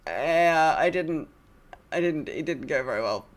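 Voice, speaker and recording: A woman's voice: sits low, lively intonation, unhurried. A woman hosting a gaming podcast, chatting casually in a rambling, self-deprecating way. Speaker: podcast host.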